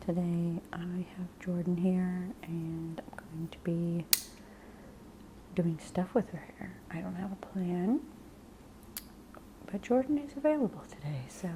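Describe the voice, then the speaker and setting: A woman hosting an ASMR chat in a soft half-whisper, sounding stuffy from a cold. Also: lowish voice, slow pace, pauses often, a little hiss or hum, poor audio. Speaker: ASMR channel host.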